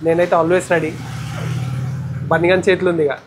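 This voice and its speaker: A man's voice: sits high, little pitch movement, a little fast. A man in his twenties with a Telangana Telugu accent, giving a casual how-to vlog talk mixed with English. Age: 20s. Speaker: vlogger. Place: outdoors on a tiled house porch. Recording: faint ambient outdoor noise.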